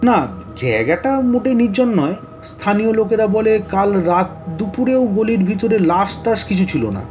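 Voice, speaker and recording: An actor playing an earnest, baffled police inspector in an audio drama, speaking with puzzled emphasis. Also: male voice, high-pitched, expressive, moderate pace, darkish tone, soft background music.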